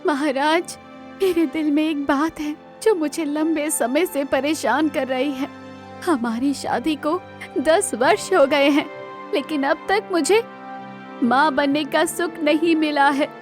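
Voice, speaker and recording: A woman playing a gentle, devoted queen in a cartoon moral story, speaking tenderly and respectfully. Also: sits high, takes it slowly, soft background music, pitched above the performer's own voice. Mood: sad, troubled. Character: gentle, devoted queen, encouraging yet sorrowful.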